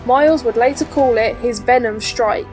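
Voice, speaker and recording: A woman's voice: fairly high pitch, normal speed, darkish tone. A woman reading a scripted children's storybook as an audiobook narrator. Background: faint background music.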